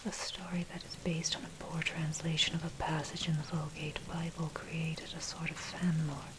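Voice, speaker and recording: A woman narrates in a breathy, unvoiced whisper, giving a measured, scholarly ASMR reading of a dark history article. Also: sits low. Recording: heavy background noise, rain and thunder. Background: rain, thunder, clicks.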